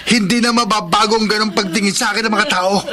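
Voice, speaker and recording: A man's voice: sits high, steady tone, fast, heavy background noise, lightly acted. A man with a Filipino accent playing a sarcastic, stubborn teenage robber son in a radio drama. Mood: bitter defiance. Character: bitter defiant teenage son turned highway robber.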